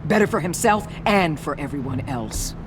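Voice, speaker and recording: A woman's voice: low-pitched, lively intonation, moderate pace, over music. A woman playing a hard-nosed, ruthless agency boss in a video game, commanding and scornful. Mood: calculating. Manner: commanding, cutting, sarcastic.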